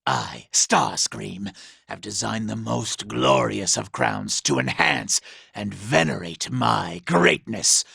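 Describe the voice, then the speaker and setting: Vain scheming robot villain for a game, super gravelly n sharp, sneery and pompous. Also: male voice, lowish voice, animated, slow, no room sound, studio quality, exaggerated voice. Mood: pompous, self-admiring. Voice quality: raspy, gravelly. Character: vain, scheming, treacherous robot villain, cowardly under threat. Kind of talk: video game character acting.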